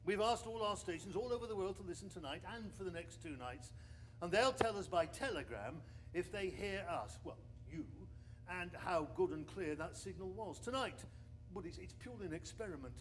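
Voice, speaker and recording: Fretful high-voiced 1920s research engineer man. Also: lively intonation, moderate pace, a little hiss or hum, poor audio. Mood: hesitant, explaining. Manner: hesitant, stammering, fretful. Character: anxious, sceptical 1920s research engineer, military captain. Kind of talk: period radio drama acting.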